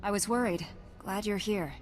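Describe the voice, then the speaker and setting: A woman's voice: medium pitch, normal speed, faint music behind, close to an everyday voice. Woman acting a resolute, composed space-knight heroine. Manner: earnest, composed. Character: resolute, earnest female Jedi knight heroine. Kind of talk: video game cutscene acting.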